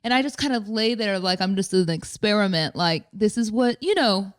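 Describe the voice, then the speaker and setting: A woman in her thirties co-hosting a podcast in casual chat, loud and candid with some bass in her voice and a blunt, swearing manner. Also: lively intonation, normal speed, no echo, clean studio sound. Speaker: podcast co-host.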